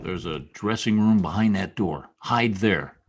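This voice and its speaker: A man's voice: lowish voice, unhurried, husky. An American man playing a tough, wry private detective in a 1940s radio noir style, clipped and slangy. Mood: tough, impatient. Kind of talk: 1940s radio noir acting with first-person narration.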